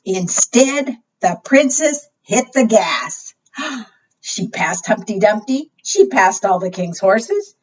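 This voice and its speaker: A woman hosting a preschool storytime, reading picture books aloud in an animated, expressive way and putting simple questions to young listeners. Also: medium pitch, slow pace, slightly rough. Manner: asks young listeners questions, simple child-directed phrasing; animated, expressive with gasps and exclamations. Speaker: library storytime host for preschoolers. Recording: muffled, distant microphone.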